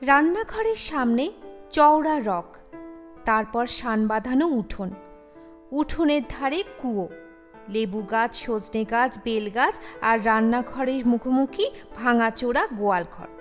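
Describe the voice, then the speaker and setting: A woman narrates a written story in a fairly high voice. Her reading is scripted storytelling, carried in a long reverb with music playing behind it. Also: expressive, unhurried. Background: background music.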